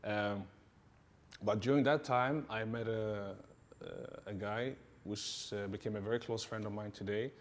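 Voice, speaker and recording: A man, a martial arts instructor answering interview questions in a relaxed way, with many fillers and repeated yeahs. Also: lowish voice, unhurried. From Sweden.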